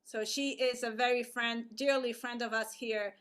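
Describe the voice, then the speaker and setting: A woman hosting an online arts event over a video call, introducing a guest in a halting way with many fillers and restarts. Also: a little high, steady tone, a bit bright, clean tone.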